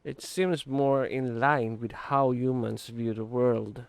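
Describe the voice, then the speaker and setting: A woman's voice: rumbling low, steady tone, takes it slowly, gravelly, no room sound. A woman streamer giving casual, short reactions while playing a video game.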